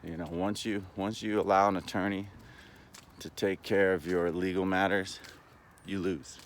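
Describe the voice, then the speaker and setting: A man talking in a casual, rambling monologue, halting but earnest and opinionated, as a vlogger. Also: low-pitched, fairly even intonation, a little hiss or hum.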